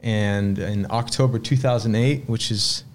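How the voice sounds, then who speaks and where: A man in his late thirties, originally from Sweden, telling his story as a restaurant owner in a relaxed, reflective way. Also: fairly low pitch, measured pace, slight background noise. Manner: relaxed, reflective storytelling with frequent "uh" and repeated words. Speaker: restaurant owner, podcast guest. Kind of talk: casual interview conversation.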